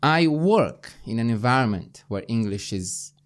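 Man, Romanian accent, forties, earnest podcast host, lively pitch, small studio mic. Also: measured pace. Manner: earnest, persuasive, often asks "right?". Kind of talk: casual conversation. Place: small studio with foam panels. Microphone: boom-arm podcast mic.

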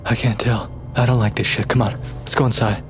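An actor playing a gruff, protective young American man, troubled and sardonic, in a naturalistic audio drama. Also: mid-range voice, fairly even intonation, fairly quick, noisy background, close to an everyday voice. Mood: wry, irritated. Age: young adult.

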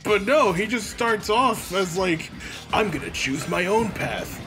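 Higher-voiced man, sarcastic game commentary. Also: expressive, a little slow, music in the background, low-quality recording. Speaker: let's play co-commentator.

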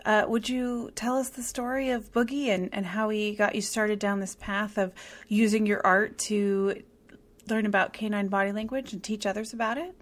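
A woman podcast host introducing and interviewing a guest in a clear, prepared way. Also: medium pitch, steady tone, moderate pace.

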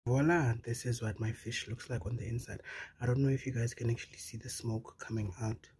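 A man's voice: medium pitch, steady tone, brisk, a little mellow, slight background noise. A young man from South Africa with a South African accent, chatting through a recipe in his home kitchen and talking straight to viewers with lots of casual fillers. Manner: chatty, addresses viewers directly, many "you know" fillers. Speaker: cooking channel host.

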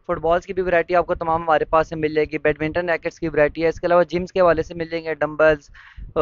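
A young shop owner with a Pakistani Urdu accent, briskly listing his stock in an interview. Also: a little high, clean tone, no room sound, dull audio. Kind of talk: interview answers. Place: indoor sports shop.

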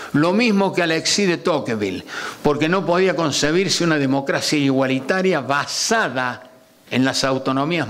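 An older man with an Argentine accent lectures from a panel table, his voice sitting somewhat high for a man. He is animated, stretching key words out for weight and tossing in rhetorical questions. He speaks into a gooseneck microphone in a conference room. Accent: Argentine Spanish. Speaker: lecturer on constitutional history. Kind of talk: academic lecture.

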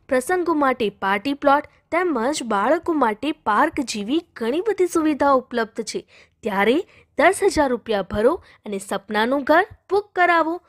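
Brief: female ad voice-over, high pitch, dry studio sound. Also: no echo. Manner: clear, persuasive announcing. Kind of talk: scripted advertisement.

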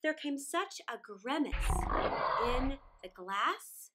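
A middle-aged woman reading a children's story aloud in a theatrical, deliberate way, drawing out words with dramatic pauses. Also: high-pitched, sing-song, very noisy. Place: small indoor room against a plain wall.

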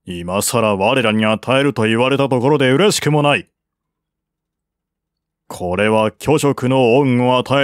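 A man's voice: medium pitch, no echo, studio quality, close to an everyday voice. Man reading as a proud, defiant ancient general. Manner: blunt, formal, martial speech. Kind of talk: audiobook reading of historical novel dialogue.

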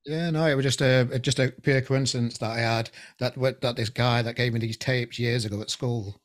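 A man in his forties hosting a podcast interview over a video call, chatty and halting, with many restarts, on a headset boom mic at home. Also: steady tone. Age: 40s. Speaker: podcast host. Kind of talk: casual interview chat. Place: home room indoors.

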